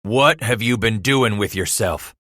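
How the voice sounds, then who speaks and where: Man acting a gruff, thundering frontier father. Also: fairly low pitch, unhurried, a bit bright, no echo, clean studio sound. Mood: furious, thundering. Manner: thundering, rustic folksy speech. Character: angry domineering frontier father, gruff and stern.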